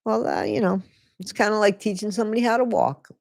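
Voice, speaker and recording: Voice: medium pitch, lively intonation, quick pace, gravelly, darkish tone, no room sound, clean studio sound. A livestream host reading a book aloud to viewers and breaking off for sarcastic, chatty comments, often stopping to reread a line. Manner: sarcastic asides between read passages. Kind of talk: casual live reading with commentary.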